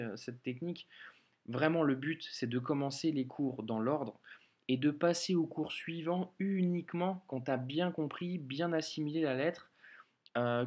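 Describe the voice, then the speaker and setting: A man whose first language is French teaches reading Arabic in a patient online lesson. He explains step by step, stopping often with small hesitations. His voice sits at an ordinary middle pitch. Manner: patient, explains slowly, many hesitations and "euh".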